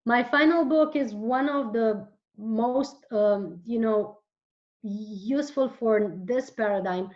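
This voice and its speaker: Woman speaking English as a second language, slow and searching. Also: fairly high pitch, muffled recording. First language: Romanian. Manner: slow, searching, with frequent restarts. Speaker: guest author. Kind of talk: interview answers.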